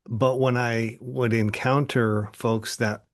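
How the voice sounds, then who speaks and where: A man's voice: mid-range voice, level tone, slow pace. A man hosting a podcast in casual chat, talking hesitantly with many ums and uhs. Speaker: podcast host.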